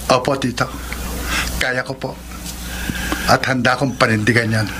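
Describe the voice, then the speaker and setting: A man acting a soft-mannered young boyfriend in a Filipino radio drama, earnest and defensive, slightly effeminate, with a Filipino accent. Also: medium pitch, fairly even intonation, normal speed, background noise, natural voice. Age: young adult. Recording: loud music bed under the voice.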